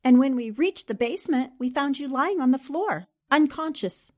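A woman's voice: high-pitched, animated, moderate pace, no echo, studio quality. A woman playing a composed, inquisitive newspaper columnist in a radio drama. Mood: concerned.

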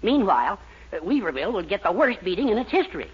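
A man's voice: high-pitched, sing-song, fast talker. An actor playing a fretful, timid, henpecked man with a fussy, exclamatory manner in a 1940s radio sitcom. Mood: dismayed. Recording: muffled 1940s radio recording, faint noise.

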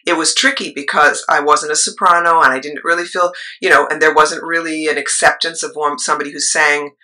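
A middle-aged woman with a low voice, a choir leader and actress, telling her story in a rambling interview style with asides. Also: fairly even intonation, brisk, bright, forceful tone. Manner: rambling, with asides and trailing thoughts.

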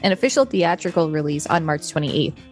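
A woman co-hosting a podcast, reading an article aloud in a steady, even way. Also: deep voice, fairly quick, faint music behind. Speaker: podcast co-host.

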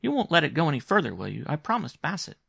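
Storybook young boy, played by a man: raised pitch, grave, earnest, insistent, very dry close sound. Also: wildly swooping pitch, quick pace, vocal fry, a bit bright, breathy edge, no echo, full character voice. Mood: pleading. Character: earnest, intense young boy, secretive gambler.

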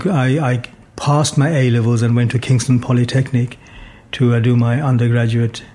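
Older Bangladeshi-born man, steady and dark. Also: medium pitch. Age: 60s. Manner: measured, thoughtful, with frequent fillers and restarts. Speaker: guest. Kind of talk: reflective life story in conversation.

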